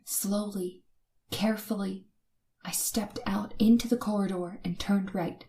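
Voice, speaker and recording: An actress playing a terrified young woman who narrates her night of terror in old-time radio suspense style, dramatic and halting. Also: medium pitch, fairly even intonation, dark tone, natural voice. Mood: hushed dread.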